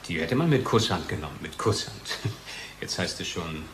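An actor playing a testy, sardonic research chemist who argues and explains dryly, in a German radio drama. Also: male voice, deep voice, expressive, moderate pace, a bit bright. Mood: indignant. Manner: dry, argumentative, explanatory. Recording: clearly noisy background ambience.

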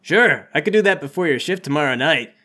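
A rich, laid-back young dude acted out by a man in a comedy tabletop game. He sounds bright and fairly high for a man, with breezy, slangy generosity. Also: lively intonation, brisk. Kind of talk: improvised comedic roleplay.

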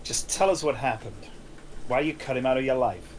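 A man's voice: mid-range voice, normal speed, slight background noise, close to an everyday voice. A man playing a probing, insistent police detective in a script table read.

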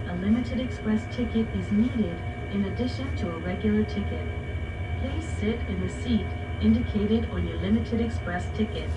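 A woman giving a scripted, formal train announcement in measured phrases over a carriage PA. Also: fairly high pitch, low-quality recording. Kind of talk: scripted recorded English announcement. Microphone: camera mic picking up overhead speakers. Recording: train PA with loud rail noise.

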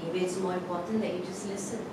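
A woman playing a calm, gently teasing mother on the phone to her son, in a staged reading on a theatre stage. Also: on the low side, fast talker, natural voice. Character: Malay mother, gentle, teasing, set on travelling. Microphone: far camera, no visible mic. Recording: echoey hall from far off, noisy.

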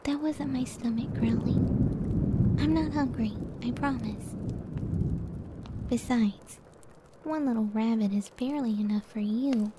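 A woman playing a gentle, affectionate cyclops giantess wife in an ASMR roleplay, speaking softly and soothingly close to the mic. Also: a little high, takes it slowly, pauses often, background noise. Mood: flustered, reassuring. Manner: soft, breathy, tender, soothing. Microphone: close ASMR microphone.

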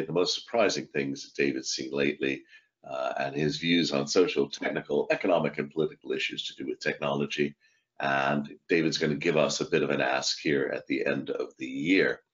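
A very deep-voiced, middle-aged man hosts a webinar interview from his home office over a video call. He talks genially and chats along, with plenty of fillers. The call audio sounds dull and lo-fi. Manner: genial, chatty, with frequent fillers. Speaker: host. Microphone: video call microphone.

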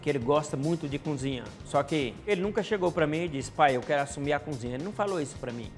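A man's voice: a little high, expressive, quick pace, faint music behind. A man in his fifties with a northeastern Brazilian accent, speaking earnestly in a confessional interview about his own life. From rural Ceará, northeastern Brazil. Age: 50s. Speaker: snack bar co-owner. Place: indoor restaurant, seated interview.

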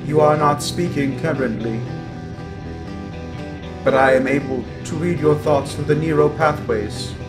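Evil robot overlord, played by a man for audio roleplay: male, coldly superior, very measured pace, stuttering glitches, music underneath. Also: a little high, natural voice, low-quality recording. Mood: calm, superior. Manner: deliberate, glitching stutters on words. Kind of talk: scripted robot roleplay monologue.

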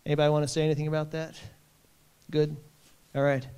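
Man, town hall host, smooth clear voice, reassuring, plainspoken. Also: a little high, completely flat delivery, fast talker, darkish tone. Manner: plainspoken, reassuring, reads audience questions aloud. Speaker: base commander hosting a town hall. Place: conference center.

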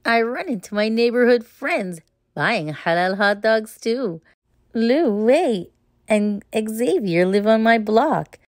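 Female storybook reader, expressive and unhurried, very varied intonation, for children's read-aloud narration. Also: a little high, dry recording, clean studio sound. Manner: expressive, deliberate phrasing.

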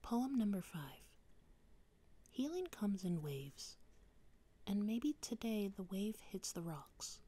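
A young woman whispering softly through a slow ASMR poetry reading, drifting close to and away from the microphone. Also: medium pitch, very animated, slight background noise. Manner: soft unvoiced whispering, varied mic distance.

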